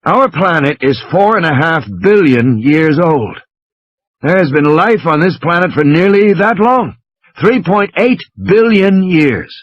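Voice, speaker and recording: Guy doing a scornful know-it-all lecturing from his sickbed, emphatic, very animated, bit dull recording. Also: on the high side, a little slow, dry recording. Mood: grand, intense. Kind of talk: dramatised audiobook character reading.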